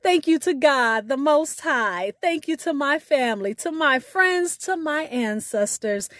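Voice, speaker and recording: A woman hosting a podcast, reading a scripted sign-off with deliberate, emphatic enunciation over music. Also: sits high, lively intonation, a bit bright, dry recording, studio quality. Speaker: podcast host. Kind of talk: scripted podcast outro with spoken poetry.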